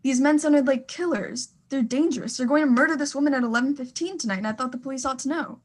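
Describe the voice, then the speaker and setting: Frantic society wife, very high voice, haughty and demanding, radio thriller. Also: female voice, fast talker. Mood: agitated. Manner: indignant, demanding, stammering in agitation. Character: imperious, frantic, fretful well-to-do married woman.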